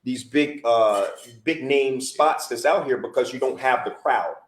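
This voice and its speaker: An emphatic American man talking through a casual podcast, pressing his points and circling back to them. His voice sits at a middle pitch. The recording has a noticeably roomy echo. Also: unhurried. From United States. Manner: emphatic, conversational, repeats for emphasis. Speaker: podcast co-host and DJ. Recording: roomy echoey podcast audio.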